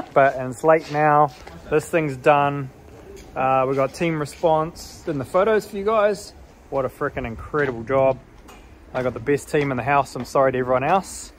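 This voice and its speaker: A man's voice: fairly high pitch, moderate pace, slight background noise. A man, giving casual, exclamatory vlog narration into a handheld phone. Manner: informal, exclamatory, slangy. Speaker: vlog host, race car driver. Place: on the move outdoors, in a vehicle and in a large exhibition hall. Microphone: handheld phone at arm's length. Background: music.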